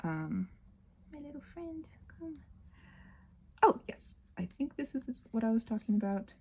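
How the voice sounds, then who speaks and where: A woman hosting a craft channel in a casual ramble, playful and whimsical, drifting onto tangents and talking to herself, with a slightly husky voice. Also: on the high side, sing-song, a little slow, warm tone. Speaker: host of a craft and ASMR channel.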